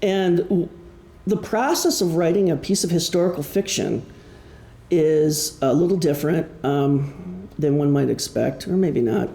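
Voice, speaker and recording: A man's voice: fairly high pitch, unhurried, gravelly, warm tone. A middle-aged man giving an informal talk as an author, telling stories in a wry, self-deprecating way. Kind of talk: informal prepared talk to an audience. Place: indoor room, live presentation. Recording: echoey room.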